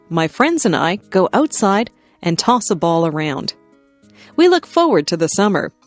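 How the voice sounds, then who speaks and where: A woman narrating simple scripted stories for language learners, reading in careful, clearly separated phrases. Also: lowish voice, no echo. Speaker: narrator of an English listening lesson. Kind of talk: scripted narration, read-aloud beginner stories.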